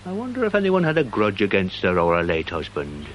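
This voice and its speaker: An actor playing a pedantic, self-important, slightly dim British police sergeant in a radio drama, with a wordy, deliberate manner. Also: male voice, lowish voice, huge pitch swings, highs cut off, a little hiss or hum. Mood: earnest, officious.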